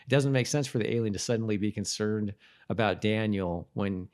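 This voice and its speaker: A man's voice: on the low side, brisk, a little mellow. A man co-hosting a podcast, chatting casually and informally about a TV show. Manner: informal, conversational. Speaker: podcast co-host. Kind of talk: casual chat about a TV episode.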